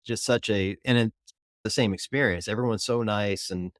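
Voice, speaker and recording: A man's voice: lowish voice, moderate pace, no echo, studio quality. A man hosting a podcast, chatting in a rambling, laid-back way with his guest. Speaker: podcast host. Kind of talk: casual chat.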